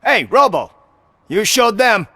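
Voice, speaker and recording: Game side character, high sharp voice, music underneath. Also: male voice, sing-song, takes it slowly, full character voice. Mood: eager. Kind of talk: video game dialogue acting.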